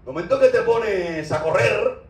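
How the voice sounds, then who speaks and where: Cuban male preacher, high voice, fervent and conversational, roomy far-off recording. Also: expressive, unhurried, a little hiss or hum. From Cuba. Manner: impassioned, with loose conversational asides. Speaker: spiritual teacher. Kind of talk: informal sermon. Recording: roomy echo, distant mic.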